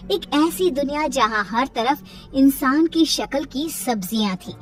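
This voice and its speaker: A woman's voice: sits high, lively intonation, measured pace, recorded from a distance, soft background music. A woman narrating a scripted cartoon story.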